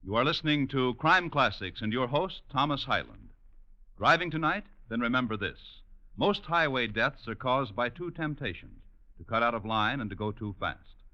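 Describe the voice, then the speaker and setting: A man's voice: medium pitch, very animated, moderate pace, bright, forceful tone. A man announcing in the formal, emphatic style of vintage scripted radio. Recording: dull old radio recording.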